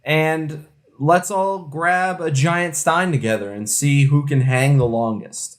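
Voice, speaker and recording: A man's voice: on the high side, takes it slowly. A man co-hosting a podcast, chatting casually in an enthusiastic, slangy way. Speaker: co-host of a craft beer podcast.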